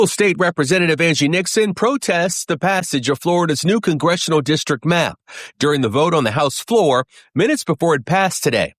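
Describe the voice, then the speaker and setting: Male American reporter, slightly high voice, scripted news read, dry recording. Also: fairly bright tone, dry recording.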